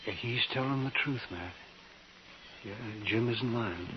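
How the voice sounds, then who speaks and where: An actor playing a blunt, crusty country doctor in an old radio western, matter-of-fact and folksy. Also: male voice, medium pitch, unhurried, music in the background, lightly acted. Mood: assured. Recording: muffled, distant, hissy radio recording.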